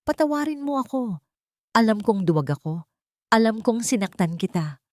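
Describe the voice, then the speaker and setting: A woman's voice: on the high side, very animated, normal speed, dry recording, voice pushed up. A remorseful coward of a man begs forgiveness, as a woman narrator voices him. It is story drama dialogue. The recording is dry and close. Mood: pleading, ashamed.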